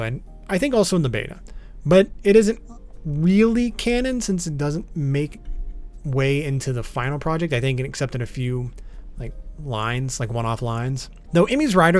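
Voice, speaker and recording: A man's voice: on the high side, big pitch swings, moderate pace. A man narrating an explainer video as its host, in an informal, matter-of-fact way, with music behind him. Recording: background music under voice.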